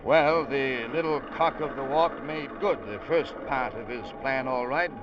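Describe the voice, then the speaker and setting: A man's voice: mid-range voice, unhurried, noisy background, close to an everyday voice. An actor playing a ship's first mate who narrates the story in an old radio drama, plain and a little hesitant with his captain. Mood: narrating. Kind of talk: 1940s radio acting. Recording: dull old radio broadcast with music.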